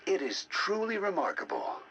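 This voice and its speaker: An actor playing a scholarly, intrigued scientist who narrates scripted game audio logs in a deliberate, theatrical, musing way. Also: male voice, high-pitched, huge pitch swings, a bit bright, muffled recording, a little hiss or hum, full character voice. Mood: musing, fascinated. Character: scholarly male scientist, intrigued by ancient alien data. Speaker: researcher narrating decoded alien history logs.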